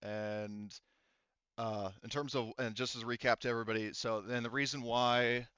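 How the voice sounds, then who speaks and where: A man's voice: mid-range voice, steady tone, normal speed, a bit bright, smooth, no room sound, muffled recording. A man acting as game master, casually narrating a tabletop game and muttering to himself as he checks rules and counts.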